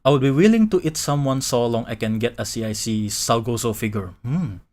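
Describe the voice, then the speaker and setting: A man's voice: mid-range voice, brisk, darkish tone. A man from Indonesia, chatting casually on a live stream, rambling and restarting his sentences and laughing often. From Indonesia, Malay from Sumatra. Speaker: VTuber streamer.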